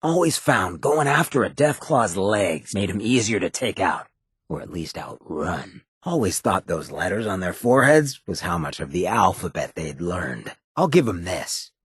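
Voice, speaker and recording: An actor playing a roguish, cynical charmer of a town mayor in a video game, with a raspy, gravelly voice and a cocky, slangy drawl. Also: male voice, deep voice, big pitch swings, normal speed, fairly bright tone, no room sound, studio quality, exaggerated voice. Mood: wry, musing. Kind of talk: video game character dialogue.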